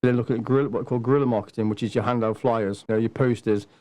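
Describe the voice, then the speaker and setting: Man, brisk pace, relaxed dark tone, matter-of-fact, podcast guest, casual chat. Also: mid-range voice. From Nottingham, England. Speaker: podcast guest, martial arts instructor.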